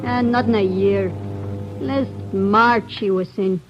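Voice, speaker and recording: A woman's voice: mid-range voice, slow pace, over music, lightly acted. An actress playing a guarded working-class young woman in a 1940s radio crime drama, with hesitant, searching phrases. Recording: dull vintage 1940s radio recording.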